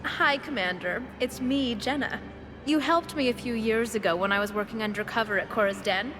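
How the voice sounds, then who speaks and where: A woman's voice: fairly high pitch, normal speed, close to an everyday voice. A woman playing a friendly, plucky young volunteer in a video game, chatty and open in manner. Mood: chatty, matter-of-fact. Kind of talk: game dialogue acting. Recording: reverberant, music behind.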